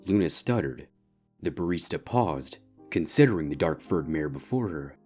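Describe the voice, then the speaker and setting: A male narrator with a deep voice reads a scripted story. Quiet instrumental music sits behind the narration. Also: lively intonation, normal speed, husky. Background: soft instrumental guitar music.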